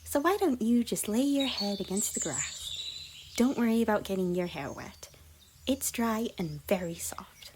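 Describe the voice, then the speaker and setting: Casting: a woman to play a gentle, chirpy girl pony for cartoon-style ASMR, affectionate, very animated pitch, often whispery. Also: a little slow, close to an everyday voice. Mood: soothing. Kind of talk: roleplay ASMR character dialogue. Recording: noisy, birdsong and outdoor ambience behind. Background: birdsong and nature ambience.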